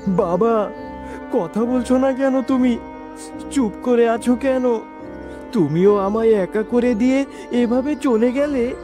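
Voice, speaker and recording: A man's voice: extremely high pitch, takes it slowly, pauses often, over music. A man voicing a sad, obedient orphaned schoolboy in a children's cartoon, pleading and polite. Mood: grieving.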